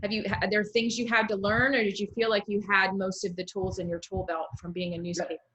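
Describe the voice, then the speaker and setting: A woman speaks as the host of an informal interview about writing. Her pitch is average for a woman, and her manner is conversational and encouraging. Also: flat delivery, fast, sharp tone, slight background noise, rough recording. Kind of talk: casual literary interview.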